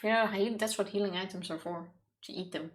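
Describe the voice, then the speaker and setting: A woman's voice: mid-range voice, steady tone, fairly quick. A woman chatting casually as co-host of a video game stream. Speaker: let's play co-host.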